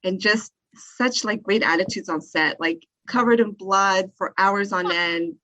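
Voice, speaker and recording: A woman's voice: mid-range voice, unhurried, sharp tone, rough recording. A woman filmmaker giving casual, conversational answers as an interview guest.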